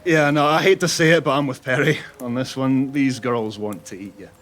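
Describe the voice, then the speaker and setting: An actor playing a flippant, sardonic scout with a deadpan manner in a comic audio drama. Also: male voice, mid-range voice, brisk, natural voice. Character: flippant, sardonic male scout back from danger.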